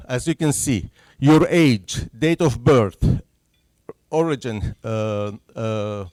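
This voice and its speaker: A man's voice: a little high, a little mellow, no echo. A man from Syria with a Syrian Arabic accent, a native Arabic speaker, giving an earnest public information presentation at a senior center and checking in with his listeners after each point. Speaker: census presenter. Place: senior center meeting room, indoors.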